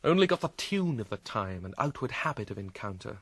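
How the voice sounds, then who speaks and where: An actor playing a troubled, witty and melancholy young prince in a classical Shakespearean radio drama, speaking theatrically with a quick mind. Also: male voice, lowish voice, very animated, a bit bright, no room sound, exaggerated voice. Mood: mocking, playful. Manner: theatrical, quick-witted, shifting between dry mockery and reflection.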